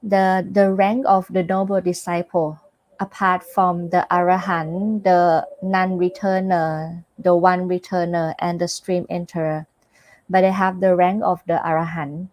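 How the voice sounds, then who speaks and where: Slow, hesitant Thai-accented woman teacher. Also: lowish voice, flat delivery, a little mellow, no echo. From Thailand. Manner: hesitant, searches for words with many fillers. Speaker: Buddhist nun teacher. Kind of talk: dharma teaching with live Q&A.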